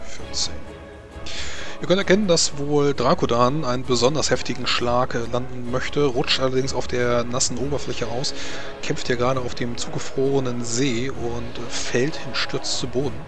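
A man with a medium-pitched voice acts as game master at a tabletop session. He narrates and makes rulings with small pauses to think. Soft music plays behind him. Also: steady tone. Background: background music.

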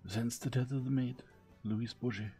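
A man's voice: mid-range voice, fairly even intonation, normal speed, dark tone, soft background music, lightly acted. A man voicing a vain, precise middle-aged detective with a French accent who slips in French phrases. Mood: insistent.

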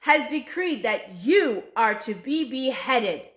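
A woman's voice: fairly high pitch, expressive, takes it slowly, fairly bright tone, roomy sound. Woman doing a formal army general. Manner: formal, ceremonious. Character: proud male army general, formal herald.

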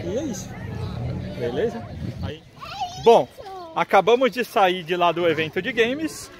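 A man's voice: sits high, animated, a little slow. A man in his thirties with a Brazilian Portuguese accent, casually vlogging outdoors from a phone at arm's length. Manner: chatty, pointing things out while walking. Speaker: travel vlogger. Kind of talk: casual vlog narration. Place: outdoors in a busy town square and park. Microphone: phone held at arm's length. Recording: crowd and street noise.

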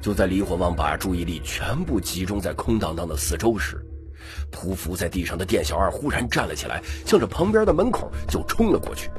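A man's voice: low-pitched, sing-song, fairly quick, soft background music. A man narrating a scripted, dramatic audiobook story.

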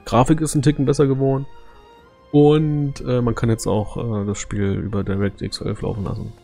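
A man's voice: medium pitch, little pitch movement, normal speed, vocal fry, warm tone, soft background music. A man giving casual, laid-back live gameplay commentary as a streamer, in colloquial language. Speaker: game streamer.

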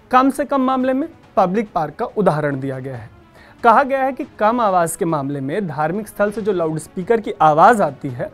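A man presents news with a lively, swinging intonation. He explains things in an emphatic, conversational manner, with music faintly behind him in the studio. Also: high-pitched. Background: background music.

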